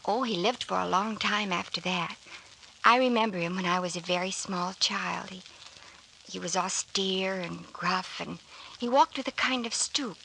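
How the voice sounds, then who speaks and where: A woman's voice: medium pitch, normal speed, gravelly, pushed and bright. An actress playing an earnest, hesitant young woman telling her story politely in an old-time radio drama. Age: young adult. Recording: noisy old radio recording.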